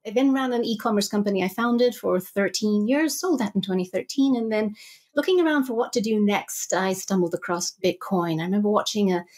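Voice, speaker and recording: A woman's voice: mid-range voice, a little fast, a little mellow. A middle-aged woman from Zambia talking conversationally as a podcast guest, explaining things like an analyst.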